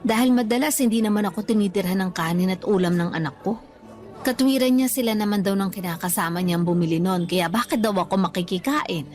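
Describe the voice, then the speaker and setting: Older mother role, played by an actress: mid-pitched, self-effacing, gently defensive, radio drama over light music. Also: a little fast, natural voice. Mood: grateful, evasive. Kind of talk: Tagalog radio drama acting.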